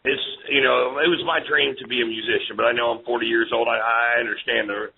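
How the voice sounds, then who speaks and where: A man's voice: mid-range voice, fairly even intonation, quick pace, creaky. A man in his forties co-hosting a casual talk show, bantering in a joking, laid-back way. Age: 40s. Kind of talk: casual chat. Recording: tinny lo-fi radio stream with faint hiss.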